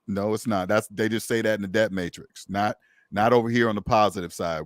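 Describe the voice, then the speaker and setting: A man's voice: on the low side, fairly even intonation, a little fast, dry recording, clean studio sound. Emphatic, preachy man hosting a talk. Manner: emphatic, preachy, repeats himself for stress. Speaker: podcast host. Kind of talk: casual conversation.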